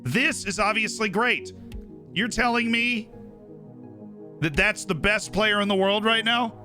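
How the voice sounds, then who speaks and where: A man's voice: sits high, unhurried, pushed and bright, faint music behind. A young man streaming live, chatting casually and opinionatedly about football, with lots of fillers and asides.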